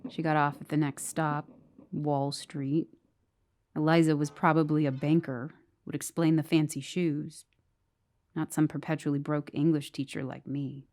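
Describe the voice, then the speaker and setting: A woman's voice: low voice, flat delivery, measured pace, a little mellow, a little hiss or hum. An actress playing a brooding, insecure woman who narrates her troubled romance as an intimate, bitter, haunted ghost story monologue. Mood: bleak, bitter. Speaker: narrator and lead character of a horror audio drama. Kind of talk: dramatic first-person ghost story monologue. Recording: music behind the voice. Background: musical underscore.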